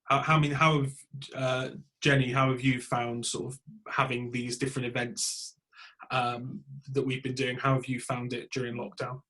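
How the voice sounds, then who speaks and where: A British man hosts a relaxed group conversation at a medium pitch. He feels his way through sentences in a hesitant, halting manner. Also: rough recording. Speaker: podcast host. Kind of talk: casual group discussion.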